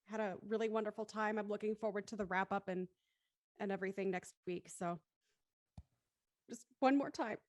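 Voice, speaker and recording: A woman's voice: mid-range voice, steady tone, normal speed, long pauses, dry recording, clean studio sound. A woman hosting a casual online after-show, talking informally and addressing guests and audience as host.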